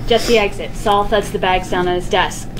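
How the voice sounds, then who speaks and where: An American woman reads screenplay directions as a narrator, with a medium-pitched voice. She is at a table microphone in a meeting room, with clear background noise. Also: fairly even intonation, moderate pace. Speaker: stage directions reader. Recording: noisy room recording.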